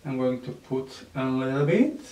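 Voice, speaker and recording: A man with a Serbian accent, whose first language is Serbian, gives a makeup tutorial in halting English with many fillers and soft nervous laughs. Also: medium pitch, animated. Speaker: beauty vlogger. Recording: echoey room, mic at a distance.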